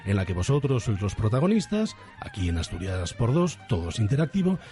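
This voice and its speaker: A man's voice: on the low side, big pitch swings, a little fast, warm tone. A man presenting and narrating on radio in a theatrical, tongue-in-cheek, mock-dramatic way. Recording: faint music under the voice. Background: music.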